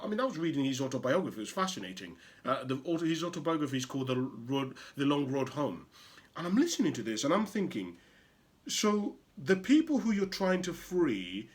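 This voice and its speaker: Animated motivational speaker, man from Uganda. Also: medium pitch. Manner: emphatic, rhetorical questions, swings from loud to hushed. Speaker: motivational show host. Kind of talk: impassioned motivational monologue.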